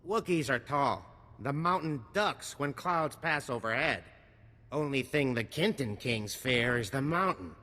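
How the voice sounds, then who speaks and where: Sharp, bright wisecracking arms dealer. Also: male voice, medium pitch, expressive, a little slow, big-room sound. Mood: sardonic. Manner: slick, sardonic salesman patter. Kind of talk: video game cutscene acting.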